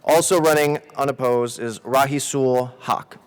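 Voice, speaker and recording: A man's voice: medium pitch, steady tone, a little slow, a little hiss or hum. A man moderating a student election debate, reading rules and roles aloud with the odd filler. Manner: reads roles out evenly, with some "uh" fillers. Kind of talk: formal announcements.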